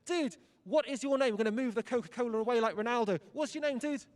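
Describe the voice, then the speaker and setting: A man's voice: very high-pitched, fast talker. A young man presenting live from an outdoor fan zone, upbeat and joking as he chats with fans at their tables. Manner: upbeat, hyped, jokey with guests. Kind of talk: energetic outdoor broadcast chat.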